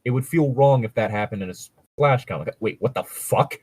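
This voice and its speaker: A man's voice: mid-range voice, a little fast, darkish tone, husky, no room sound. A man co-hosting a comedic fan fiction read-aloud, chatting casually in a sweary, exasperated, sarcastic way, close to the microphone. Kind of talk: casual chat mixed with mocking read-aloud.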